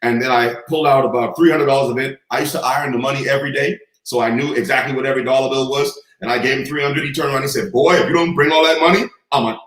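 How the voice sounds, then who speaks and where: A man's voice: medium pitch, steady tone, rapid-fire, hardly any pauses, a bit bright, echoey room, low-quality recording. A man from the Bahamas, a guest telling stories with confident, preacher-like cadence. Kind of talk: storytelling in a conversation.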